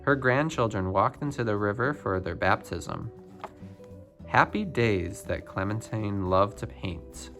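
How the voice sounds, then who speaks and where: A man reading a children's picture book biography aloud, phrase by phrase with expressive emphasis. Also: fairly low pitch, unhurried, music in the background. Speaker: storybook reader.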